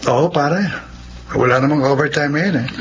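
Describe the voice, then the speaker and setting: A man's voice: on the high side, moderate pace, natural voice. A man playing a cautious, easygoing friend with a Filipino accent in a muffled radio drama. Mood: easygoing, wary. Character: cautious, easygoing young male drinking buddy.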